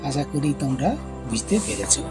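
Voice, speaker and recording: A man voicing a kindly, moralising cartoon village father in a measured, advising manner. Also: fairly high pitch, sing-song. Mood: pleased, advising. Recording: loud music bed under the voice.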